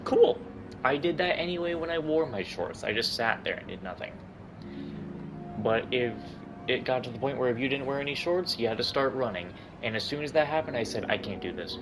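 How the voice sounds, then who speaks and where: A young man livestreaming a game, chatting casually in a griping, profanity-laced, animated way. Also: mid-range voice, fairly quick, low-quality recording. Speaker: game streamer. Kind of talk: casual livestream chat over gameplay. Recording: loud game music behind the voice.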